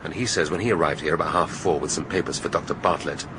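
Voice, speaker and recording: An actor playing a brusque, irascible police chief inspector in a radio drama, blunt and probing. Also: male voice, extremely low pitch, fast talker, background noise.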